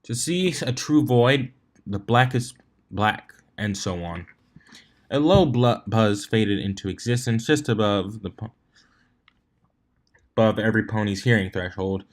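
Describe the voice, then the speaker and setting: A man's voice: on the low side, a little slow, long pauses. A man reading fan fiction aloud as a scripted story narrator, sometimes tripping over a word and rereading it. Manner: stumbles over words and rereads them.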